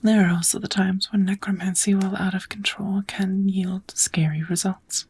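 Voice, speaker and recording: A woman voicing a kind, knowledgeable witch who gently teaches magic in a measured, whispered ASMR roleplay. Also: mid-range voice, steady tone. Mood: gently instructive. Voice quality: soft, breathy whispering.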